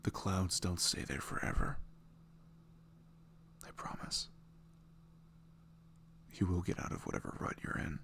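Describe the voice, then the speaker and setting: A man with a very deep voice and some fry speaks in a hushed, gentle ASMR style. He reassures his listeners softly. There is an audible hum in the recording. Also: a little slow, long pauses. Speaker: audio roleplay creator. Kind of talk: soft ASMR message to listeners.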